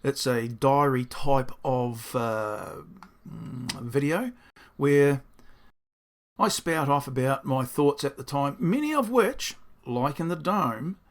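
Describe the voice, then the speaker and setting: A man, a builder, talking through his design in a casual diary-style video, with a croaky, hoarse voice, rambling and self-deprecating as he thinks aloud. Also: medium pitch, lively intonation, takes it slowly.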